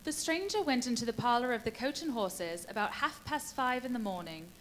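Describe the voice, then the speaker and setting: A woman playing the composed narrator of a radio play, giving measured, formal scripted storytelling. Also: a little high, lively intonation, close to an everyday voice. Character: composed female narrator of an eerie village tale. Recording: faintly noisy live stage recording.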